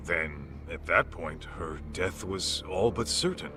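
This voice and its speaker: A man's voice: sits low, slow, over loud music. A man playing a courteous, probing middle-aged private investigator in an English-dubbed video game drama. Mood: serious, probing. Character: gruff but courteous male private investigator.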